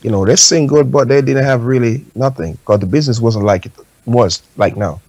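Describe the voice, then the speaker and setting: A man's voice: medium pitch, brisk, a little mellow, dry recording. A man with a Jamaican accent talking casually and anecdotally as a guest in a radio discussion. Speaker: talk show guest.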